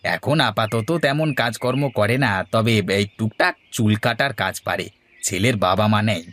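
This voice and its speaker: A man's voice: lowish voice, a little slow, fairly bright tone. A man playing a villager who acts as a persuasive matchmaker for his friend in a cartoon. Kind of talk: Bengali moral story cartoon dialogue.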